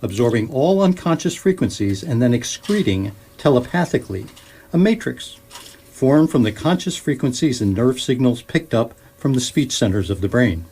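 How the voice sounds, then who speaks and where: Man as a husky, wry guidebook narrator. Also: mid-range voice, expressive, dark tone. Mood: wry, explanatory. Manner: wry, measured explaining. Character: electronic guidebook narrator, wry, learned, drily amused. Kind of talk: scripted comic sci-fi narration.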